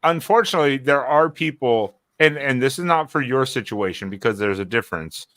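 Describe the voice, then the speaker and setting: A man's voice: medium pitch, lively intonation, a little fast, fairly bright tone, dry recording, clean studio sound. A man co-hosting a casual evening livestream hangout, rambling and often restarting his sentences. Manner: rambling, repeats words and restarts. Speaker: co-host.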